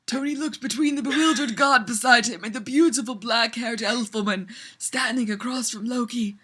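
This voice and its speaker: High, bright, theatrical woman reader. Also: normal speed, breathy edge. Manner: theatrical, giggly, often breaking up. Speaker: reader of fan fiction. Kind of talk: mock-dramatic reading of a story to friends.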